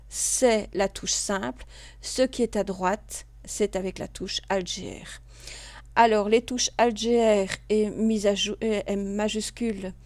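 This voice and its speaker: A woman, a computer class instructor calmly talking through a screen tutorial step by step. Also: mid-range voice, no echo. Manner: patient, step-by-step, with small restarts and fillers. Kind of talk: screen-recorded tutorial narration.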